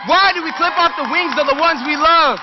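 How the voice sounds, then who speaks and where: A young man declaims spoken-word poetry with emphatic force, his voice pitched very high for a man. The recording is dull and rings with the long reverb of a big hall. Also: brisk, crowd noise, noisy background. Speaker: student slam poet. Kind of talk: impassioned live slam poetry speech. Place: large hall at a rally. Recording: echoey hall, dull lo-fi capture.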